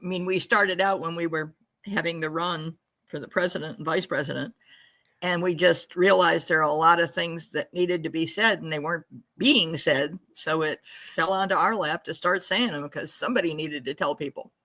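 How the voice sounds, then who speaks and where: A woman's voice: low voice, normal speed, pushed and bright. A woman from the United States co-hosting a podcast in casual chat, talkative and plainspoken. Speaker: podcast co-host.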